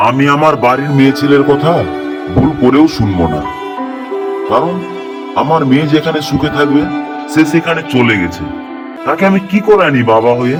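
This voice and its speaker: A man's voice: medium pitch, lo-fi sound, natural voice. A man playing a loving, reproachful middle-aged village father in a cartoon drama, dramatic and heartfelt. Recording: music clearly behind the voice.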